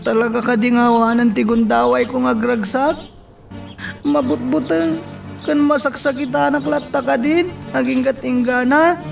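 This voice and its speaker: A lovelorn young man in a radio drama, with a very high, creaky voice. He pleads emotionally and sounds set back from the microphone, with music playing clearly underneath. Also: unhurried, distant mic, exaggerated voice. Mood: anguished. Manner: plaintive, emotional. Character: distraught young man in love, pleading with an older woman. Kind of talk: Ilocano radio drama acting.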